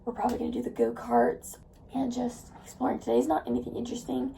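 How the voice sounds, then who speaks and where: A young woman with a hoarse, gravelly voice chats through a casual vlog update. Her tone sits a little high but sounds mellow and soft. She rambles easily and fills her pauses with plenty of fillers. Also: level tone, slight background noise. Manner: chatty and rambling, with many fillers. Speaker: vlogger.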